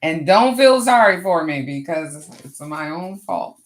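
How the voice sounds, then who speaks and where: A middle-aged woman with a hoarse voice, hosting a casual crafting livestream and chatting to viewers as she works. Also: on the low side, expressive, takes it slowly. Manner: chatty, greets viewers warmly and often. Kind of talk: casual live craft chat.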